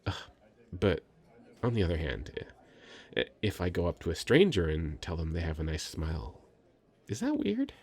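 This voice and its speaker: Shy, sweet young male nerd played by a man for ASMR roleplay: low, husky, hesitant, stammering, self-conscious. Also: huge pitch swings, moderate pace, a little mellow, full character voice. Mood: awkward, sweet. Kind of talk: scripted ASMR roleplay, intimate conversational acting.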